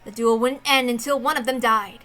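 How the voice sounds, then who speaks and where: High bright woman reading a dramatic story. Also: steady tone, fairly quick. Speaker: narrator, reader of a visual novel. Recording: music behind the voice. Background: background music.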